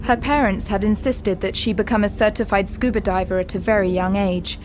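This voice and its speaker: A woman reading scripted dramatic narration for an audio drama in a measured, descriptive storytelling manner. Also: medium pitch, fairly even intonation, noisy background. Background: music.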